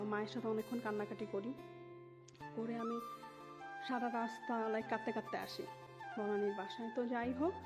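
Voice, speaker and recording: A woman with a Bangladeshi accent telling a personal story in an interview, mixing English words and phrases into her talk. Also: on the high side. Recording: muffled audio with music underneath. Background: music.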